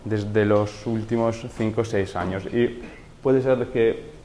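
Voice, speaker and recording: A man's voice: lowish voice, fairly even intonation, warm tone. A man in his thirties from Italy speaking Spanish with an Italian accent, giving a technical workshop talk in a lecture room on a handheld mic, often stopping to correct himself. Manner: halting, self-correcting non-native phrasing. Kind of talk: technical presentation to an audience. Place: large lecture room with projector. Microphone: handheld microphone.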